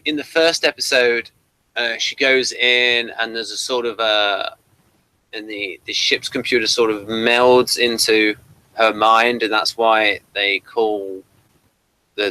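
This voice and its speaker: Bright-voiced British man chatting. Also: medium pitch, fairly even intonation, takes it slowly, rough recording. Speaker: podcast co-host. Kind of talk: casual review discussion.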